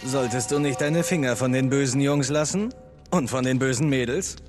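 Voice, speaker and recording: An actor playing a cocky, quick-witted younger male adventurer, casual and teasing, in a dubbed action video game. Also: medium pitch, natural voice. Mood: teasing, defensive. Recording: long cave-like reverb, faint music.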